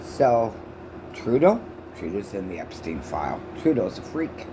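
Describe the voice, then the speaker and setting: A woman in her sixties from Canada ranting loudly and profanely to camera on her own channel, her speech halting from false teeth. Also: a bass voice, sing-song, noisy background. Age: 60s. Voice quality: loud voice. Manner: shouting, profane, accusatory. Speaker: vlogger. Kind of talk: angry rant to camera.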